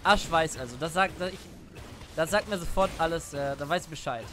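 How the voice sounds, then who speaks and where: Young male streamer, high pitch, chatty questions over game calls, noisy headset stream. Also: a little fast, sharp tone. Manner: chatty, asks questions while calling plays. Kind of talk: casual gaming chat. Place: home room at a desk.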